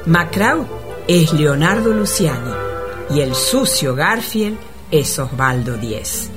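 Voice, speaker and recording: A narrator telling a comic radio play in a measured, mock-solemn scripted style. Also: medium pitch, sing-song, music in the background.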